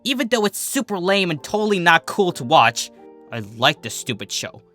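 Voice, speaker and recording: A man's voice: high voice, very animated, moderate pace, pushed and bright, soft background music, voice pushed up, heavily acted. A man voicing a brash, defensive, teasing young female athlete pony with casual, slangy, huffy delivery.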